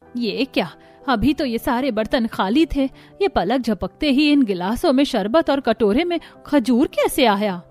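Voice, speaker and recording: A woman's voice: on the high side, animated, measured pace, a little mellow, faint music behind. Woman acting earnest cartoon household woman. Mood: amazed. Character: women of cartoon households, earnest mothers, in-laws and neighbours. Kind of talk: Hindi cartoon story acting.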